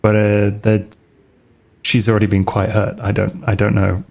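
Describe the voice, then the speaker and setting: A man playing a tabletop role-playing game, talking casually in a hesitant, halting way. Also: fairly low pitch, flat delivery, gravelly, darkish tone.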